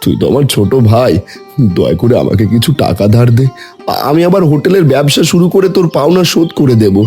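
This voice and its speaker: A man's voice: medium pitch, animated, fast, dark tone, faint music behind, natural voice. Man voicing a stammering despairing village hotel owner. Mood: lamenting. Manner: stammering, lamenting. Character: middle-aged village hotel owner Ratan, despairing father.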